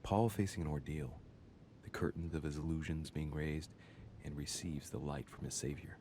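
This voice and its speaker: Brooding game detective, really low voice, slow solemn reading, bit of noise behind. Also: male voice, steady tone, mellow. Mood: pensive. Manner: measured, solemn, formal delivery. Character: brooding male investigator examining religious paintings and scripture. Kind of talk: scripted video game voice acting.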